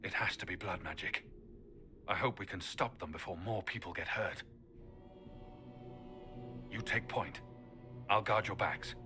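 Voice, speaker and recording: An actor playing a blunt, protective adventurer in a fantasy game, direct and emphatic. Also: male voice, low-pitched, a little slow, pauses often, over music. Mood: concerned. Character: blunt male adventurer, protective, indignant ally. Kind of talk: dramatic game dialogue.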